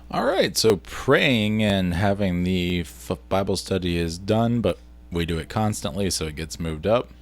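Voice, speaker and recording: A man's voice: deep voice, lively intonation, measured pace, no echo. A man narrating a casual screen-share vlog, informally thinking aloud as he works through tasks on the computer. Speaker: vlogger and video editor.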